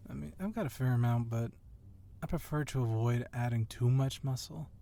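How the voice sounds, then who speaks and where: A man's voice: medium pitch, moderate pace, vocal fry, mellow, lightly acted. A man playing an agile, confident police officer with a casual, flirtatiously admiring manner in an ASMR roleplay. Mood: teasing. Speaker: voice actor in audio roleplay.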